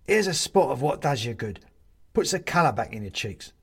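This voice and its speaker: A man's voice: medium pitch, big pitch swings, normal speed, creaky, husky, heavily acted. A man voicing a jovial, fussy china-figurine ghost in a storybook, chatty and hearty with old-fashioned British turns of phrase. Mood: hearty, welcoming. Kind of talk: storybook character voice.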